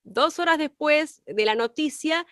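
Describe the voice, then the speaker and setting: A woman with a high voice explains a point in a class. She is didactic, working through examples and checking that listeners follow. The sound is close and dry. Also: a little slow, a bit bright, dry recording. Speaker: co-teacher of a radio class.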